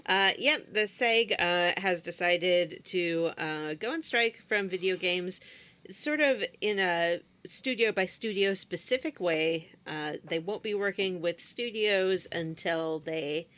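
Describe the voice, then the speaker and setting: A woman's voice: lowish voice, slow, a bit bright. A woman co-hosting a gaming podcast, delivering news in a matter-of-fact, wry way. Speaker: podcast co-host. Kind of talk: casual chat, news segment.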